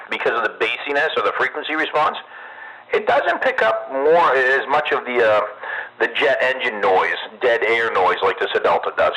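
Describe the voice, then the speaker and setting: A man's voice: medium pitch, pushed and bright. A man chatting casually on CB radio in a rambling, technical, sweary way. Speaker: amateur CB radio operator. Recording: noisy CB radio audio with static.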